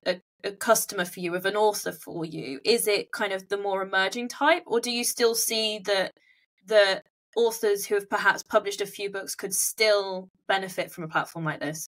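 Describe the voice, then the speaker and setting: A woman's voice: mid-range voice, steady tone, normal speed, fairly bright tone. A woman hosting a casual interview, thinking aloud and rephrasing her questions as she goes. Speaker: podcast host and interviewer.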